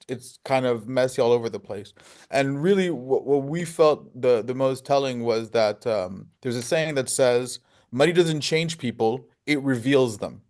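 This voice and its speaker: A man's voice: mid-range voice, a little mellow. A man who is a filmmaker, answering interview questions conversationally and explaining his ideas with rhetorical questions.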